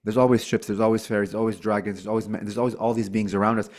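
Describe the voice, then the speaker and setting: A man in his forties, born in Lebanon, talking as a guest in a casual interview, loose and animated with frequent "like" and "um" fillers. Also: fairly low pitch, rapid-fire. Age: 40s.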